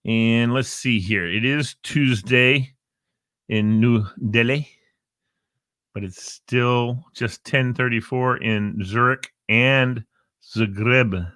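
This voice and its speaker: Bright-voiced man rambling through a chatty show. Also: mid-range voice, fairly even intonation, slow pace, no room sound. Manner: rambling, playful, jokey asides. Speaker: show host. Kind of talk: casual live show chat. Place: Northern Virginia.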